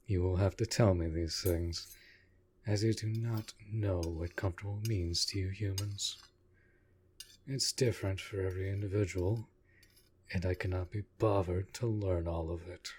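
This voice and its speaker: A man playing an aloof, commanding yet protective vampire master in an intimate roleplay audio drama, speaking in a formal, measured way. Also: low voice, steady tone, a little mellow, breathy edge. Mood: coolly caring. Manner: formal, measured, deliberate speech.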